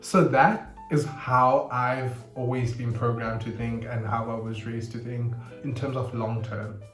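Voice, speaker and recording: A young man, chatting casually to camera in an animated way and breaking into sudden high squeals. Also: a little slow. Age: 20s. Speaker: vlogger. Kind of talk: casual confessional chat to camera. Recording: roomy echo, faint guitar music behind. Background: faint guitar music.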